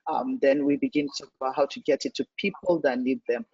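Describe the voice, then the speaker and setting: A man moderating a panel discussion over a video call, speaking in a measured way with frequent "um" pauses. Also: mid-range voice, darkish tone, slightly rough, dry recording. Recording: dry, muffled online call audio.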